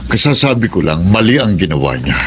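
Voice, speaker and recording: A man acting a gentle, forgiving middle-aged father, earnest and pleading, in a radio drama. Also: deep voice, sing-song, a little fast, noisy background. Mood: gently pleading.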